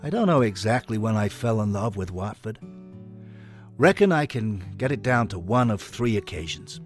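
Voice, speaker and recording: A man playing an older American businessman and sincere football fan, telling his audio story in a measured, plain-spoken way with an American accent. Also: lowish voice, animated, soft background music, pitched below the performer's own voice, lightly acted. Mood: fond reminiscing. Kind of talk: scripted audio story monologue.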